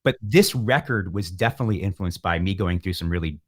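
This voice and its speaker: A man's voice: deep voice, a little fast. A middle-aged man giving reflective interview talk as a musician guest, philosophical and wry, often thinking aloud.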